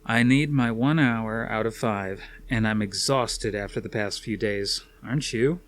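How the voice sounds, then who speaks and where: A man voicing a calm, self-assured, weary male scientist in a storybook reading, dry and matter-of-fact. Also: lowish voice, measured pace.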